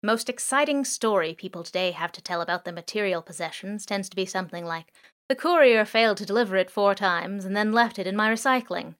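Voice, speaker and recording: A woman voicing a playful, chatty male drone that talks with natural pauses and indecision. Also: medium pitch, a bit bright. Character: loud talkative male surveillance drone, playful.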